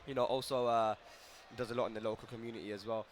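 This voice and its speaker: A man commentating a fighting game tournament, excitable and joking. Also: mid-range voice, fast talker, slight background noise. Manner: excitable, laughs often, jokes around. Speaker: esports commentator. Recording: commentary over loud game music.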